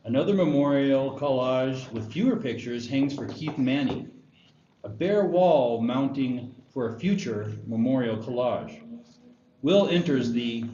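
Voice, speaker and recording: A man's voice: medium pitch, mellow. A man narrating stage directions at a screenplay table read. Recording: echoey room, muffled, faint noise.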